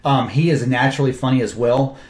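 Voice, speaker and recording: A man, a film director, talks through his cast with eager, animated enthusiasm. His voice sits at a middle pitch and carries a roomy echo. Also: a little fast. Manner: enthusiastic, animated storytelling with quoted asides. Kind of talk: casual interview talk.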